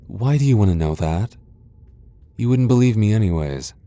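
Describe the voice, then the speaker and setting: A man's voice: on the low side, lively intonation, moderate pace, mellow, no room sound, lightly acted. A man playing a proud, guarded tsundere vampire lord in an ASMR roleplay, with a haughty, sardonic and reluctant manner. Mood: smug, threatening. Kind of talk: ASMR boyfriend roleplay audio drama.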